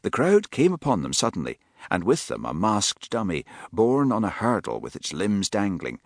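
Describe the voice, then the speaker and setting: Low-voiced guy reading a novel, lively pitch, dry booth sound. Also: vocal fry, no room sound. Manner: measured, expressive reading. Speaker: audiobook narrator. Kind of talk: scripted narration.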